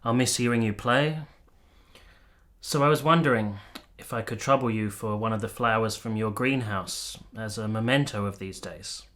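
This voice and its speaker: A man's voice: on the low side, moderate pace, a bit bright, lightly acted. A man acting in a radio play as a cultured army captain, speaking in polite, formal, literary phrases with a wistful air. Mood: wistful, courteous. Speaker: actor and narrator in a radio play.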